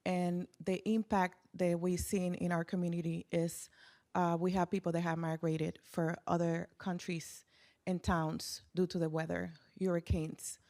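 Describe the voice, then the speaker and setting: A woman with a fairly low voice gives testimony on a panel. Her intonation stays flat and even, and her delivery is plain and halting. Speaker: panelist, community health worker.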